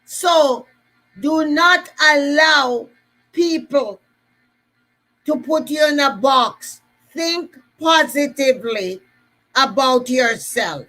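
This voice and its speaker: Woman, Jamaican accent, motivational speaker, high voice, bright tone. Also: extremely slow, faint music behind, poor audio. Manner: repetitive, emphatic, rallying. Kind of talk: motivational talk.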